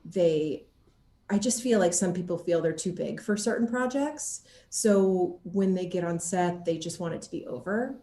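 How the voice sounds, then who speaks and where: A woman actor chatting casually in an interview and telling anecdotes in an animated, laughter-prone way. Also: lowish voice, moderate pace, reverberant, slight background noise.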